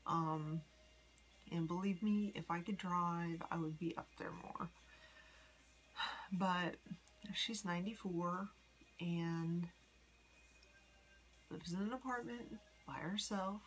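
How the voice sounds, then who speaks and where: A woman in her forties from the United States, rambling in a personal vlog with many ums and soft whispery asides. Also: lowish voice, slow pace, long pauses, rough recording. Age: 40s. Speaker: vlogger. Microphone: phone.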